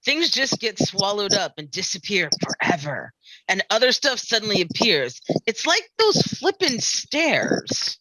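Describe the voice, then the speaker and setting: A woman's voice: mid-range voice, big pitch swings, a little slow, pushed and bright, dry recording, full character voice, low-quality recording. A woman playing a fretful beach-town sheriff at a script read, ranting with exasperated emphasis. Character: fretful, griping small-town beach sheriff afraid of water. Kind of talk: musical script table read.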